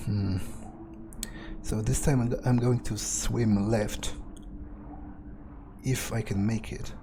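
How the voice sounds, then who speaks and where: A man's voice: on the low side, steady tone, slow, background noise. A man thinking aloud in character as a brooding, grumbling vampire during a game playthrough, hesitant and prone to swearing. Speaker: let's player.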